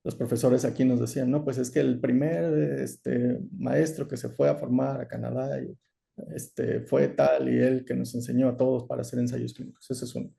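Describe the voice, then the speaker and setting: A man giving an online lecture over a video call, explaining in a measured way with frequent "eh" and "¿no?". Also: mid-range voice, little pitch movement, mellow. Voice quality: gravelly. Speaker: course lecturer.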